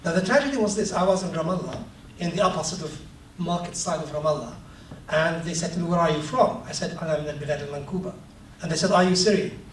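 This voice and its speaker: A man from Palestine talks about his book, his voice pitched a little high for a man. He hesitates and fills gaps with ums, then turns lively in his anecdotes. The room is echoey and the mic sits far away with a light hiss underneath. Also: rapid-fire. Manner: hesitant, many ums, animated when telling anecdotes. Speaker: author giving a talk. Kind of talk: reflective talk and storytelling. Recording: echoey room, distant mic, faint noise.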